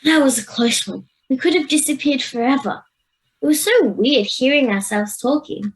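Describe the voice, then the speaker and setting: A woman's voice: sits high, animated, moderate pace, low-quality recording. An Australian girl of ten or eleven playing a decisive, bossy schoolgirl adventurer in an amateur radio play. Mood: relieved. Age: child, 10 to 11. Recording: home video-call recording.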